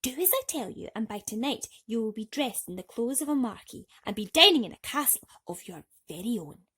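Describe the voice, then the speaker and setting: A woman voicing a sly, courteous and bossy male cat servant in a storybook, smooth-talking and commanding. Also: fairly high pitch, big pitch swings, brisk, a bit bright, heavily acted.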